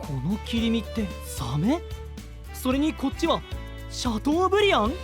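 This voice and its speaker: A man voicing a gentle, perceptive young male knight in an anime voice drama, calm and encouraging. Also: extremely high pitch, moderate pace, exaggerated voice. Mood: excited. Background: music.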